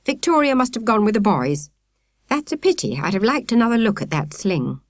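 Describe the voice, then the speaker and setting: A woman's voice: mid-range voice, sing-song, brisk, no echo, clean studio sound, voice pushed up, close to an everyday voice. A woman voicing a cheerful, hearty female scout leader with an exclamatory manner in an audiobook. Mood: excited. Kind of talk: audiobook character voice.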